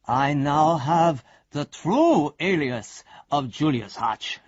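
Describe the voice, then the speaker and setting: An actor playing a pompous, self-important investigator giving a formal report in a radio drama, with a husky voice and deliberate manner. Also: male voice, a little high, dull audio. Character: pompous male investigator reporting gravely to his superiors.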